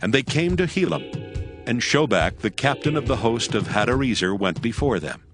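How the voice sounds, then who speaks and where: A man narrating scripture from a script in a formal, measured, solemn manner. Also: mid-range voice, animated. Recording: music behind the voice.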